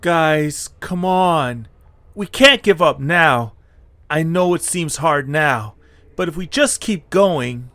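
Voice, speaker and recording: A man's voice: fairly high pitch, expressive, slow pace, sharp tone, dry recording, voice pushed up, heavily acted. A man voicing a young male adventurer trying to rally his weary friends in an audio drama. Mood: urging, weary.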